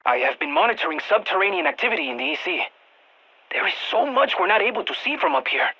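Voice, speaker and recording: A woman voicing an eager, geeky field scientist in a video game, with a Latin American Spanish accent, talking over radio comms in an expressive, quirky way. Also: medium pitch, fast talker, vocal fry, slightly rough, dull audio, full character voice. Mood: excited. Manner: expressive, quirky, nerdy enthusiasm. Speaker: mission guide scientist.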